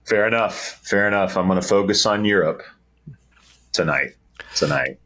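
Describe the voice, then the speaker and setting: A man's voice: fairly low pitch, animated, normal speed, fairly bright tone, rough recording. A man co-hosting a podcast in casual, conversational chat. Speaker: podcast co-host.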